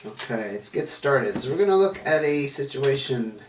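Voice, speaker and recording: A man's voice: medium pitch, animated, moderate pace. A man with a stuffy, nasal voice from a cold, chatting casually through a tarot card reading and wandering as he talks. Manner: meandering, chatty, self-correcting. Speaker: tarot reader. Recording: echoey room, distant mic, faint noise.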